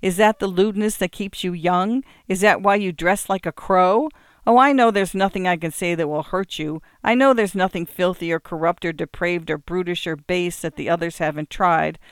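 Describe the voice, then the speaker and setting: A woman's voice: deep voice, expressive, a little slow, gravelly, hardly any pauses, no room sound, studio quality, exaggerated voice. A woman reading aloud, voicing a frightened, sick middle-aged man who turns on his visitor in fury. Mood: furious shouting. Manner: desperate, shouting in fury.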